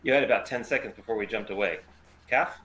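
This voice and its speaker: Man playing a low-voiced, cynical smuggler captain. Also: quick pace, pushed and bright, low-quality recording. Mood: wry. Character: gruff, cynical male smuggler starship captain. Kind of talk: script table read.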